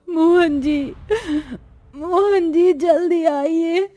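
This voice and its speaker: A woman's voice: extremely high pitch, dark tone, voice pushed up, exaggerated voice. Woman acting a weary, meek young pregnant sister-in-law. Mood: crying in pain. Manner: weary, polite, complaining. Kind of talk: acted Hindi cartoon moral story.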